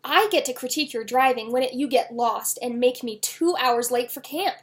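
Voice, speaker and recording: A woman voicing a hot-tempered, argumentative eleven-year-old girl from a children's novel, loud and emphatic. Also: fairly high pitch, normal speed, natural voice. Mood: angry, accusing. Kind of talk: children's novel read aloud.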